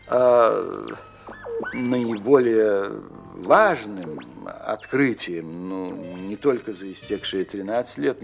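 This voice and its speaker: A learned official explains himself in a radio drama, measured and self-important. The voice has a gravelly creak and hesitates deliberately, with faint music behind it. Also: male voice, mid-range voice. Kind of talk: radio play acting as interview answer.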